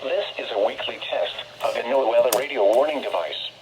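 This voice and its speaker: A man reading a formal, scripted public service announcement in a measured way, heard over a weather radio. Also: mid-range voice. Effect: weather radio broadcast. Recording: muffled radio speaker with noise.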